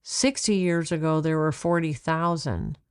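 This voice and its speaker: A woman voicing a patient, knowledgeable female turtle rescuer in a storybook, explaining things gently. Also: deep voice, measured pace, smooth, dry recording, studio quality. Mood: informative, grave.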